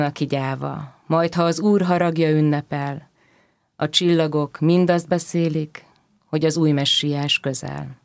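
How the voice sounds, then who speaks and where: A reciter delivering religious verse in a solemn, measured declamation. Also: medium pitch, creaky, dry recording, clean studio sound. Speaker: poetry reciter.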